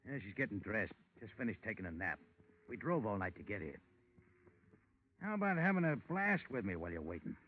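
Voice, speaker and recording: An actor playing a gruff, blunt carnival manager and strong-arm man in a slangy 1940s radio drama style. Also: lowish voice, big pitch swings, brisk, slight background noise. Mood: casual, gruff. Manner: blunt, slangy tough-guy talk. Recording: tinny, band-limited 1940s radio recording.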